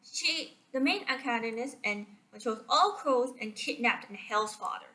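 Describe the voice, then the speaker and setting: A young woman from Malaysia with a slightly high voice talks through a movie summary into a computer mic. She hesitates often and fills pauses with ums and likes. She restarts her sentences as she goes. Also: expressive, pushed and bright, poor audio. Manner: hesitant, many fillers and restarts, unsure of facts. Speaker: video creator. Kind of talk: casual spoken movie summary. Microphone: computer screen recording mic.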